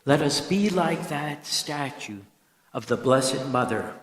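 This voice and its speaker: Priest guy doing a homily, really slow n solemn, big echoey church. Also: medium pitch, animated. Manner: solemn, emphatic repetition, deliberate pauses. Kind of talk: sermon. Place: large church interior. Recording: echoey church with long reverb.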